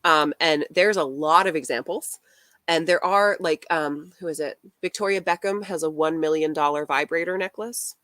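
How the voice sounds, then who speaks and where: A woman talking in a casual live chat about fashion, deadpan and wry. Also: deep voice, normal speed, no echo, studio quality. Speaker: fashion commentary creator.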